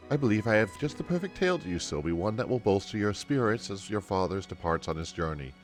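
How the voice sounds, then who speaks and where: A man's voice: on the low side, expressive, fast, faint music behind, lightly acted. A man voicing an earnest female storyteller from a fantasy game, reading her dialogue in formal, archaic, kindly phrasing. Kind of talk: game dialogue read aloud.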